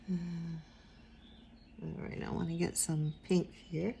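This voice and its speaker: Quiet, gravelly older woman. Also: fairly low pitch, a little hiss or hum. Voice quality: quiet soft-spoken voice. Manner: rambling, trails off and mumbles while focusing. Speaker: watercolor instructor. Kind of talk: casual painting tutorial.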